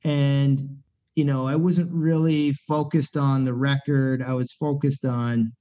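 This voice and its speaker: A man's voice: mid-range voice, level tone, slow pace, warm tone. A middle-aged man, a punk band singer talking as a podcast guest, rambling candidly with many fillers and restarts. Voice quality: nasal voice. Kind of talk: casual podcast conversation. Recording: dull lo-fi podcast recording.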